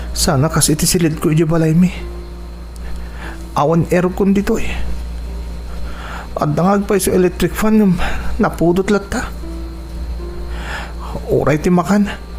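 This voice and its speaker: High-voiced, whiny teenage boy. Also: gravelly, darkish tone. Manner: whiny, protesting. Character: spoiled, complaining teenage son sent to farm. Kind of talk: radio drama acting.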